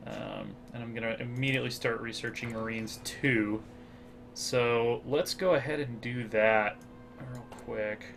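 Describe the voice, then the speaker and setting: A man's voice: medium pitch, fairly even intonation, a little slow, creaky, background noise. A man with an American accent hosting a strategy game playthrough, talking it through casually with many fillers. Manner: thinks aloud with fillers. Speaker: gaming channel host.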